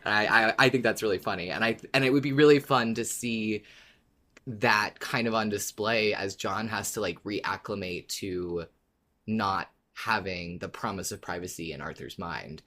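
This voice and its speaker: A podcast co-host chatting casually and enthusiastically about a favourite story, quick to giggle. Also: low voice, a bit bright. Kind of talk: casual fan podcast discussion.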